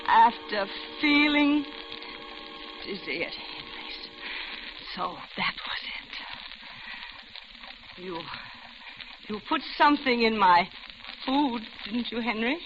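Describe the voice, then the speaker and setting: A frightened, high-voiced captive pleading. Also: female voice, animated, takes it slowly, long pauses. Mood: dazed dread. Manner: stammering, pleading, breathless dread. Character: frightened ordinary mother, held captive by a madman. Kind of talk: 1940s radio thriller acting. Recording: dull, crackly vintage radio recording.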